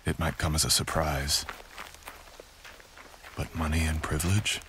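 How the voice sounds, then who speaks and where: A man playing a menacing ronin, extremely deep. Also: flat delivery, measured pace, a bit bright, slight background noise. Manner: cold, threatening, mocking, partly whispered. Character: dominant, menacing male samurai ronin abductor. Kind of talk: boyfriend ASMR roleplay.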